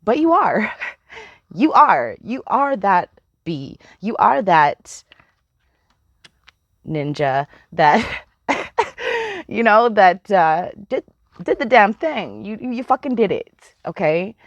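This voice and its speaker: Slow, chatty spiritual reader woman. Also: medium pitch, sing-song, no echo, dull audio. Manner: chatty, meandering, laughs often, swears casually. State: slightly hoarse. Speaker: tarot reader. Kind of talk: casual spiritual reading.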